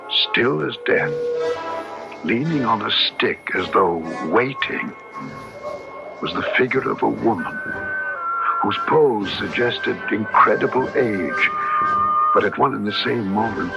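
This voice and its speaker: An actor playing a haunted, earnest man in a radio drama, speaking gravely in formal, literary phrases. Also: lowish voice. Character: haunted, earnest man trapped in a transformed body. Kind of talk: 1970s radio drama acting, first-person narration and dialogue. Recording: dull old radio broadcast recording. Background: dramatic music.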